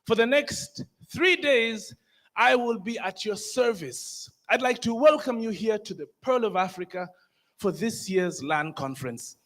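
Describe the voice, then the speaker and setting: A man with a Ugandan accent, emceeing a conference from a stage mic in an energetic, crowd-rousing way. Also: high voice, unhurried. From northern Uganda. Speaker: conference master of ceremonies. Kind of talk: lively emcee hosting a conference. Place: large conference hall.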